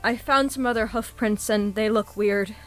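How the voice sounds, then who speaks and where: A woman's voice: medium pitch, steady tone, normal speed, a bit bright, soft background music, lightly acted. A woman playing an observant police officer who reports matter-of-factly in a horror audio drama. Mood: uneasy. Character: observant female crime scene police officer.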